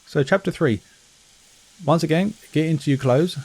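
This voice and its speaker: A man's voice: on the high side, moderate pace, darkish tone, breathy edge, low-quality recording. A man giving a casual video game walkthrough, offhand, often correcting himself and slipping in joking asides. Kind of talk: casual gameplay walkthrough commentary.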